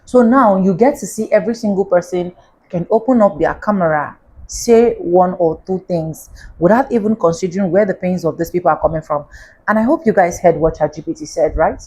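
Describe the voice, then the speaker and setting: A woman with an African accent and a somewhat low voice hosts a commentary show. She addresses her viewers directly in casual, conversational speech. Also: fairly quick, darkish tone, husky, low-quality recording.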